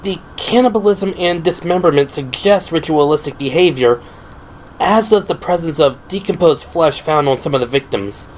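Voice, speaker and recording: A man voicing a composed, earnest young woman officer in an audio drama, giving a measured, analytical briefing. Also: fairly high pitch, a little hiss or hum, pitched above the performer's own voice, natural voice, low-quality recording. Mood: earnest, warming up.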